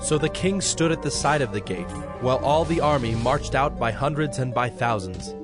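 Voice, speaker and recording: A man narrating scripture from a script in a measured, solemn manner. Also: mid-range voice, animated. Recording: music bed under narration.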